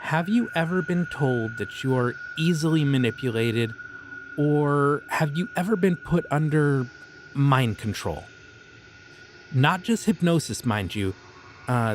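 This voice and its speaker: A man voicing a friendly but creepy young occult shopkeeper in an audio roleplay, talking in a casual, easygoing way about dark rituals. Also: medium pitch, slight background noise. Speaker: voice actor.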